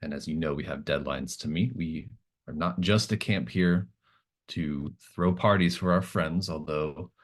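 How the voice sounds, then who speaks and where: A man voicing a stern, businesslike woman in charge of a camp, speaking firmly and formally in a tabletop game. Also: rumbling low. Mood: stern, controlled. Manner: firm, measured, formal.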